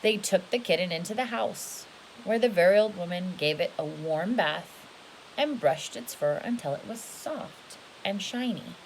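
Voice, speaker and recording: A woman's voice: medium pitch, animated, measured pace, low-quality recording. A woman reading a picture book aloud to children, instructive and often checking in with questions. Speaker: read-aloud storyteller. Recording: home recording with faint hiss.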